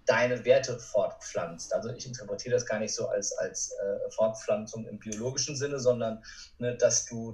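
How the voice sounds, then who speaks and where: A man's voice: mid-range voice, a little mellow. A man speaking as a motivational coach, giving earnest, conversational answers as a podcast guest. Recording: roomy, distant from the mic.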